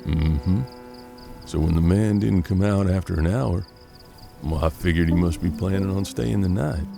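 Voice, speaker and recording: A man playing a seasoned, pragmatic bounty hunter in a western audio drama, with measured, folksy frontier talk. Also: sits low, creaky, music in the background. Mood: measured, shrewd. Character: seasoned male bounty hunter detective of 1870s Kansas, steady, pragmatic.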